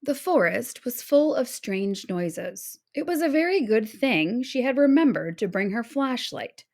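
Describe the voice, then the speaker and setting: A woman narrating a children's story aloud in a dramatic, expressive read-aloud style. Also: on the high side, unhurried. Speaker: storybook reader.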